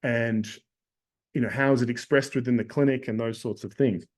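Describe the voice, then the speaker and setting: A man with a hoarse voice teaches in a webinar, his pitch sitting in an ordinary middle range. He talks in a thoughtful, searching way, pausing on fillers and restarting phrases as he works through ideas. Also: fairly even intonation. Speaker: acupuncture lecturer. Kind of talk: webinar lecture.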